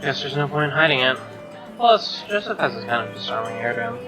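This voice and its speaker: A man's voice: mid-range voice, big pitch swings, fast talker, music in the background. A man reading a visual novel aloud as narration, with offhand reactions of his own.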